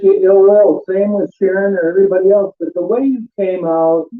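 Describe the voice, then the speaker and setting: A man's voice: sits high, fairly even intonation, fairly quick, phone-quality sound. A man arguing bluntly and dismissively on a live panel, over thin call audio. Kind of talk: argumentative live panel talk.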